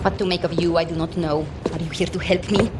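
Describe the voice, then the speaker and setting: A woman's voice: fairly low pitch, steady tone, fast, very noisy, natural voice. A woman playing a haunted, fatalistic scientist in a video game, with clipped, intense, formal phrasing. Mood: guarded, focused. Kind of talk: video game cutscene acting. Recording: loud music behind the voice.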